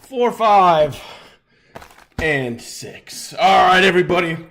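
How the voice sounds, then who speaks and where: A young adult man hosting a live sports card break, slightly raspy and chatty as he opens packs. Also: high voice, lively intonation, slow, a bit bright. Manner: upbeat, chatty, talks while opening packs.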